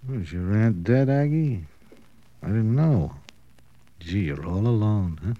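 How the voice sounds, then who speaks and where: A man's voice: on the low side, expressive, slow pace, no echo. An actor playing a weary, bitter male crook in a 1950s radio crime drama, laconic and sardonic. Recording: muffled vintage radio recording.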